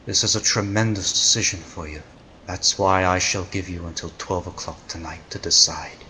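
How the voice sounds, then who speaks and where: An actor playing an arrogant, million-year-old criminal mastermind in an old-time radio drama, pompous, theatrical and condescending. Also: male voice, sits low, normal speed, sharp tone, big-room sound, slight background noise, rough recording. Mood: smug, condescending.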